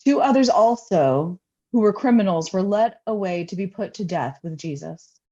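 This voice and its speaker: A woman who is a pastor, reading scripture aloud in a solemn, measured way. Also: mid-range voice, animated, dark tone. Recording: slightly dull, lo-fi sound.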